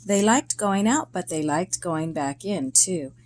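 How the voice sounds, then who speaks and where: Slow-paced woman reading a children's story aloud. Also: lowish voice, slight background noise. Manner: deliberate, expressive storytelling with short phrases and pauses.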